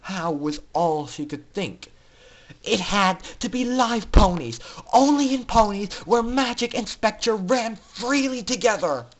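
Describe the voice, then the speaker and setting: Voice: medium pitch, lively intonation, measured pace. Story narrator, androgynous mid-range voice, theatrical and intense dramatic reading. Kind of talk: dramatic reading of fan fiction.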